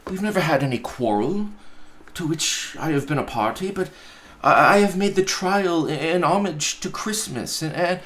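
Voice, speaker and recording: A man's voice: on the high side, expressive, normal speed, fairly bright tone, pitched above the performer's own voice. A man voicing a cheerful, earnest young nephew in a storybook reading, with a stammering, effusive manner. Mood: pleading, cheerful.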